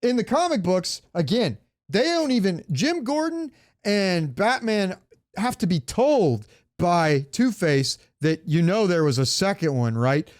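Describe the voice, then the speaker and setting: A man's voice: high voice, very animated, unhurried, no room sound, studio quality. A man co-hosting a podcast in casual, enthusiastic chat, with slangy, sweary talk. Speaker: podcast co-host. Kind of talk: casual chat about comics, movies and games.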